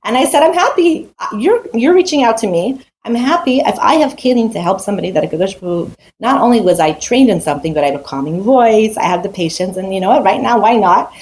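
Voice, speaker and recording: A woman's voice: mid-range voice, animated, quick pace, few pauses, a little mellow, a little hiss or hum, low-quality recording. An adult woman teaching a weekly Torah portion in a casual, earnest way, with frequent restarts and rhetorical questions. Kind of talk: casual spoken Torah talk.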